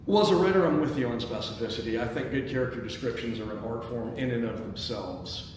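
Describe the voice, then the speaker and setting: An American man from Chicago hosting a casual panel discussion, speaking haltingly and repeating words as he goes. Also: medium pitch. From Chicago, United States. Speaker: host, screenwriter. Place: echoey indoor room at a table read event. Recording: echoey room, distant mic, clear background noise.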